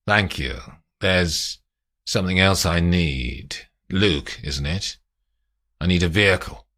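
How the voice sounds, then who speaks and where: A guy doing a quiet menacing gangster, lowish voice, slow and even. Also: exaggerated voice. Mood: calm menace. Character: hunted male crime boss, calm and menacing.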